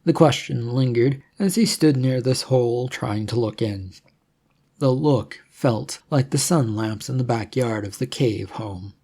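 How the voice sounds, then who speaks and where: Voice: medium pitch, unhurried, dark tone, slightly rough. A narrator reading a scripted adventure story in the manner of an audiobook.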